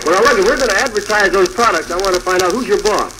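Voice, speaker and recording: Man, American, 1940s radio host, bantering, highish voice, quick delivery. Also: loud music behind the voice. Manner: chatty, bantering. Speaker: radio show host, master of ceremonies. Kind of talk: 1940s live radio hosting. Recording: noisy vintage 1940s radio broadcast.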